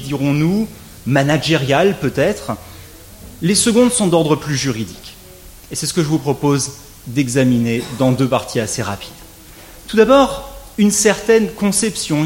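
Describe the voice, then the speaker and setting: A man, a legal scholar, giving a formal conference lecture, measured with wry asides and rising emphasis on key points. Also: on the high side, lively intonation, darkish tone.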